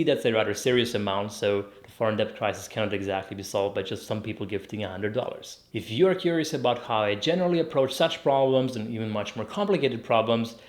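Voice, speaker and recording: A young man from Croatia talks through math problems at a steady, measured pitch. His delivery is methodical and clear, scripted like an explainer video, with the occasional dry joke. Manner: clear, methodical, lightly joking. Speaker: educational video host.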